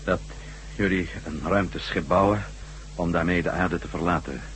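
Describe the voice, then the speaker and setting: An actor playing a captured former astronaut in a Dutch radio drama, answering tersely and compliantly in a subdued way. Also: male voice, low-pitched, steady tone, normal speed, fairly bright tone. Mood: subdued, matter-of-fact. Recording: noisy radio recording with hum.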